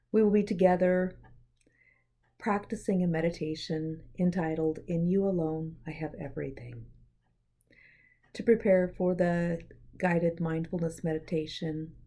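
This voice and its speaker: A woman guiding a meditation in a gentle, measured, scripted way, with breathy pauses. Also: lowish voice. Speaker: meditation guide. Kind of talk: guided meditation, soothing scripted narration.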